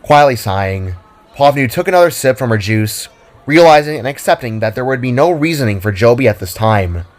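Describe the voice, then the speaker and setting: A man's voice: medium pitch, animated, brisk. A man reading scripted fiction narration as the storyteller.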